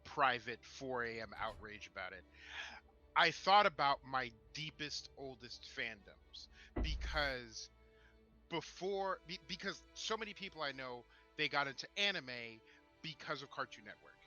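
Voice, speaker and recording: A man co-hosting a casual chat in a halting way, often repeating words as he starts a thought. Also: mid-range voice, animated, sharp tone, soft background music. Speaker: podcast co-host.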